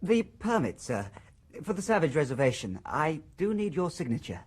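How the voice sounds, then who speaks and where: A man's voice: a little high, very animated, moderate pace, darkish tone, slightly rough. An actor playing an awkward, resentful male official in a radio drama, deferential and hesitant with small stammers. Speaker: a nervous junior official. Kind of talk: 1990s radio drama acting.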